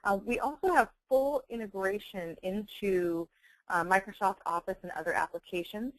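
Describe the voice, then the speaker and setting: A woman giving a webinar software demonstration, enunciating clearly as a presenter. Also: medium pitch, normal speed, no room sound, poor audio. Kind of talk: webinar product demonstration with dictation. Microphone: close handheld speech mic.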